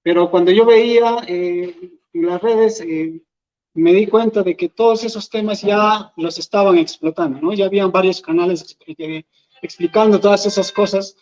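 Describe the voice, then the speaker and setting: A man from Peru, a Quechua speaker, giving an earnest, halting talk as an invited speaker at an event. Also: high voice, darkish tone. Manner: earnest, halting, thinks aloud. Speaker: invited speaker, language educator. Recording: dull lo-fi event recording.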